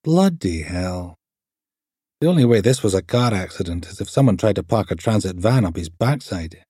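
A man's voice: sits low, animated, moderate pace, mellow, slightly rough, dry recording, studio quality. A man voicing a blunt, coolly observant female forensic technician in clipped, matter-of-fact audiobook dialogue. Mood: blunt disbelief.

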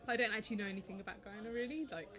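A young woman from England with an English accent, answering interview questions in a relaxed, hesitant way with many ums and restarts. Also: mid-range voice, racing. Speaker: interview guest, oral history project maker. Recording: crowd noise in background.

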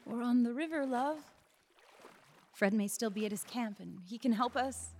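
A woman's voice: fairly high pitch, moderate pace, darkish tone, a little hiss or hum, lightly acted. An actress playing a desperate young wife who is nursing her shot husband, speaking in an urgent, coaxing way and praying under her breath. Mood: determined.

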